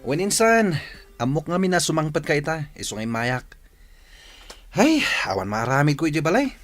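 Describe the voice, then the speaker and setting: A man's voice: on the high side, very animated, normal speed, faint music behind. A man acting an earnest young village man in love, sincere and emphatic, in a radio drama. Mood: cheerful, boastful.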